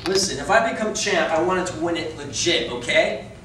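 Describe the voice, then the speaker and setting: A man's voice: on the high side, normal speed, lightly acted. A man in an amateur staged reading playing a proud, stubborn young boxer, blunt and touchy. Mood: touchy, defiant. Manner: defiant, blunt. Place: echoey indoor room, staged reading. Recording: distant echoey room, faint noise.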